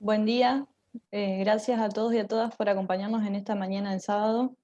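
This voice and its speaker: Mellow-voiced Argentine woman speaking informally. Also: mid-range voice, flat delivery, fairly quick, lo-fi sound. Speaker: theatre group member giving a talk. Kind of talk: informal online presentation.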